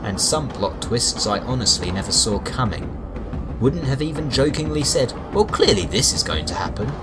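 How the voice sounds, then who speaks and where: A man's voice: mid-range voice, sing-song, moderate pace. A man giving a scripted video game review with wry, sarcastic asides. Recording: music behind the voice. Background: background music.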